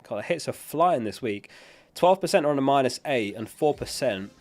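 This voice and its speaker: A man's voice: mid-range voice, lively intonation, moderate pace, soft background music. A man chatting casually as a livestream host, reading out and answering viewer questions in a matter-of-fact way, his voice starting to give out from being unwell. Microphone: close dynamic studio microphone.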